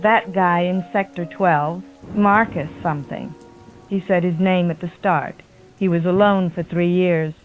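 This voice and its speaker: A woman's voice: fairly low pitch, fairly even intonation, measured pace. A woman playing a compassionate, resolute survivor radio operator, earnest and firm, through a radio filter. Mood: troubled, concerned. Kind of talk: post-apocalyptic audio drama acting. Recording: tinny phone-like radio, faint music.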